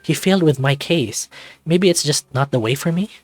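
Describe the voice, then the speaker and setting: A man's voice: fairly high pitch, animated, moderate pace, no room sound, soft background music. A man playing a troubled, guarded, sardonic man in a visual novel, with dry, brooding delivery. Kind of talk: visual novel character acting.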